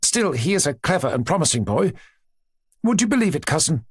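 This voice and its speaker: A lively, talkative aristocratic lady who presses her views as though always being argued with, as a male reader puts her on. The voice rides up high and swings animatedly, with a slightly husky grain. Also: brisk, no room sound, clean studio sound. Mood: vivacious. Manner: vivacious, insistent, talks as if contradicted. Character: chatty aristocratic woman, princess, strict mother.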